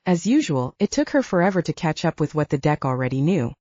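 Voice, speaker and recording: A woman's voice: low-pitched, big pitch swings, fairly quick, darkish tone, no echo, highs cut off. A woman reading a scripted audiobook story as its narrator.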